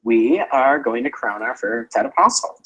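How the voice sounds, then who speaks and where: A man hosting an online game, explaining the rules in a relaxed way with lots of fillers. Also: mid-range voice, normal speed, low-quality recording. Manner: chatty, filler-heavy. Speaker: game host.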